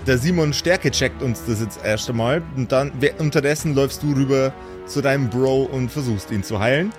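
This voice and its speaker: A man narrating a tabletop adventure as game master, with deliberate, suspenseful storytelling. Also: mid-range voice, music in the background.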